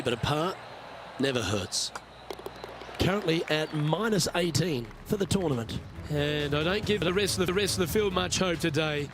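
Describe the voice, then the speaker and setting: A man's voice: fairly high pitch, background noise, close to an everyday voice. A man voicing a composed golf broadcast anchor in a video game, calling play as lead commentator.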